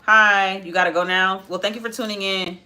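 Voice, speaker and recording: A woman's voice: mid-range voice, steady tone, a little fast, bright, forceful tone. A woman in her twenties hosting a live makeup tutorial, chatting casually with viewers and answering their comments as she works. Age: 20s. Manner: chatty, answers viewer comments while working, repeats phrases. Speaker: makeup livestream host.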